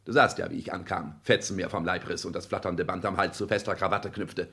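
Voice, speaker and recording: Here is a cold, ambitious man of new wealth in a 1960s radio play. His voice sits fairly low, and he declaims haughtily, handing down clipped commands. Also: fairly even intonation, brisk, gravelly, bright, forceful tone, full character voice. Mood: haughty. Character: arrogant, ambitious self-made rich son, cold and imperious. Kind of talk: 1960s German radio drama acting.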